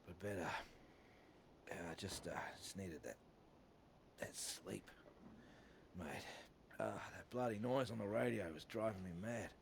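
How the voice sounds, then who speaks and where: A man playing an injured, sardonic survivor with a gruff manner. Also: lowish voice, a little slow, pauses often, background noise. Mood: weary, bitter. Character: injured sardonic male survivor fond of whiskey.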